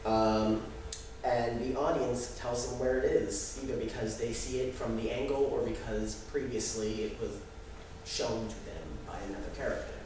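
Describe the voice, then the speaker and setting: A young adult man giving a halting, explanatory student presentation live in a room. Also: mid-range voice, steady tone, a little mellow. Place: echoey indoor room. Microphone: distant microphone. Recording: very echoey room, distant mic, noisy.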